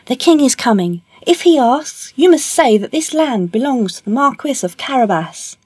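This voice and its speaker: Woman putting on a high-pitched, courtly clever tomcat. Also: expressive, normal speed, a little mellow, husky, no room sound. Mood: commanding. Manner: courtly, persuasive, emphatic. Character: clever male cat servant, eager and smooth-talking.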